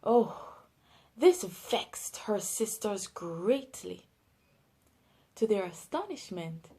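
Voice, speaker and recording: Jamaican woman, children's story narrator, slow pace, lively swooping pitch, expressive read-aloud delivery.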